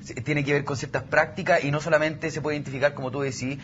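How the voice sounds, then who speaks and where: A man's voice: a little high, steady tone, fast talker, a bit bright. A man with a Chilean accent discussing ideas on a panel in academic wording, often checking with small tag questions. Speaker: youth panelist. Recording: dull sound with faint background noise.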